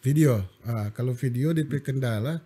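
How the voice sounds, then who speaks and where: A man's voice: medium pitch, normal speed, slightly rough. A man with a Manado accent, talking casually as a guest on a talk show and acting out the people he quotes. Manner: animated, acts out quoted people. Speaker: guest, AI content creator.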